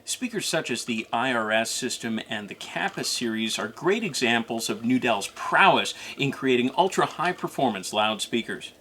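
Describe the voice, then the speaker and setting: A man's voice: mid-range voice, lively intonation, normal speed, hardly any pauses, sharp tone, slight background noise. A man narrating a scripted, informational product review as co-host.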